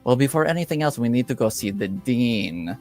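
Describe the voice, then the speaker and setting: A man voicing a confused, stubborn and forgetful nobleman in a tabletop game, slow and puzzled. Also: mid-range voice, expressive, a little mellow, faint music behind, close to an everyday voice. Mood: insistent.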